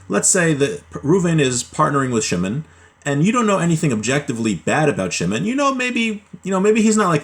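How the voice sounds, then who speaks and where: Man explaining laws from a Hebrew text. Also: a little high. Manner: reads Hebrew passages, then explains them plainly in English; relaxed, with frequent fillers and self-corrections. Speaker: Torah teacher.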